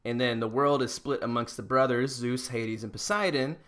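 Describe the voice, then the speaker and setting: A man's voice: medium pitch, steady tone, normal speed, fairly bright tone. A man hosting a podcast, casually retelling myths in a joking, irreverent and slangy way. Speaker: podcast host.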